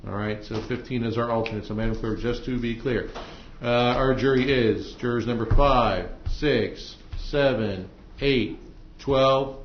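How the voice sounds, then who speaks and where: An American man presiding as a judge in a courtroom, speaking in an easygoing, halting, conversational way to lawyers and jurors. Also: mid-range voice. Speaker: judge presiding over a trial. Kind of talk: courtroom proceedings, informal instructions to jurors. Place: indoor courtroom. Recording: echoey, muffled courtroom audio with noise.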